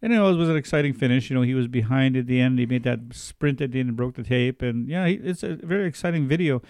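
A man from Turtle Mountain, North Dakota, talking as a guest on a radio show in a studio, halting and repeating his words. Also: medium pitch, darkish tone, clean tone, no echo. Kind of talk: casual radio interview talk.

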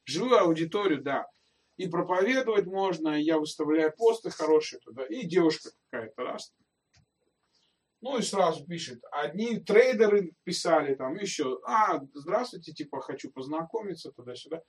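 High-voiced man preaching, mic at a distance. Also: expressive, moderate pace. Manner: conversational preaching, addressing listeners directly with rhetorical questions. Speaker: pastor. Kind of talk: sermon.

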